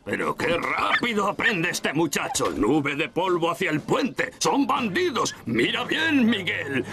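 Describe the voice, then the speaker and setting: Grizzled boastful sergeant-cook, lively delivery, cartoon score behind. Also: male voice. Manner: booming, boastful, barks orders. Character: gruff bearded old army cook, boastful and shrewd. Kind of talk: Spanish cartoon dub.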